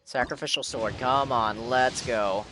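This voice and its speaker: A man's voice: medium pitch, steady tone, a little slow, over music. A man chatting casually on a livestream while gaming, repeating himself in playful, pleading fashion. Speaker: game live streamer. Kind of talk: casual livestream chat while playing a game.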